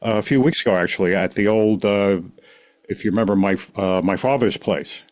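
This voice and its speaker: A man's voice: fairly low pitch, moderate pace, darkish tone. A man, a podcast guest telling his story in rambling, self-interrupting casual talk. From New York City, the Bronx. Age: 60s. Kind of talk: casual conversation.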